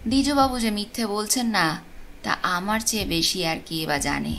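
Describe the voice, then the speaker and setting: A woman narrating a supernatural tale as a storyteller, with expressive, deliberate phrasing. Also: medium pitch. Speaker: storyteller, channel host. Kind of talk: dramatic audiobook narration of a ghost story. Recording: clear background noise and ambience.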